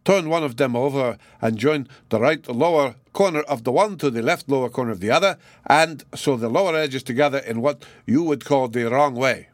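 A man voicing an elderly, courteous German gentleman in a storybook, with a German accent and a particular, exclamatory way of explaining. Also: medium pitch, moderate pace, few pauses, no room sound, clean studio sound, natural voice. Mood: instructive, insistent. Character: venerable old German gentleman, musing and instructive.

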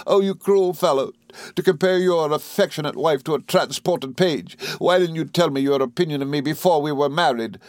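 A man's voice: on the high side, moderate pace, dry recording, clean studio sound, voice pushed up, close to an everyday voice. A man voicing a childish young wife in a classic novel reading, plaintive, petulant and easily wounded, given to sobbing exclamations. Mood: tearful, wounded.

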